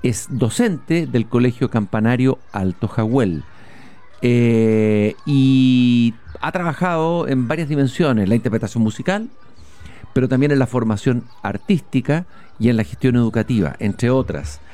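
A man hosting an interview, speaking haltingly with many "eh" restarts and repeated words. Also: mid-range voice, animated, dark tone. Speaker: interviewer, host. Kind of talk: conversational interview. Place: outdoor school courtyard.

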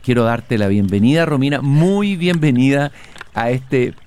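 A man hosts a calm interview as he walks through a garden. He talks in a thoughtful, measured way, pausing on fillers, in an everyday mid-range voice. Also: mid-range voice, clean tone. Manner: reflective, measured with hesitations. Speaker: host. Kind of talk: relaxed interview on a walk. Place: outdoor garden.

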